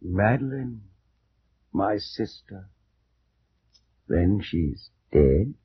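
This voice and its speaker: An actor playing a tormented, half-mad heir of an accursed house in an old radio horror drama, theatrical and swinging between hushed dread and hysteria. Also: male voice, low-pitched, sing-song, very slow, husky, full character voice. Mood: hysterical grief. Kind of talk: 1940s-50s radio horror drama acting. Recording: muffled vintage radio recording.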